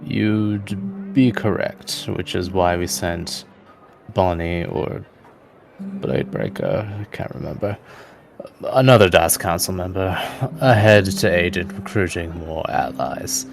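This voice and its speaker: A man's voice: low voice, slow pace, vocal fry, heavily acted. A man playing a weary, guarded male adventurer in a tabletop game, speaking in a reticent, matter-of-fact way. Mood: weary, uncertain. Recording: voice over prominent background music.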